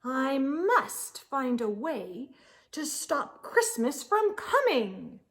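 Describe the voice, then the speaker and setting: A grumpy, sneering storybook Grinch, acted out by a woman in a fairly high voice. The creature schemes theatrically and takes its time over the words, full of sour contempt for Christmas. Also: big pitch swings, extremely slow, fairly bright tone, heavily acted.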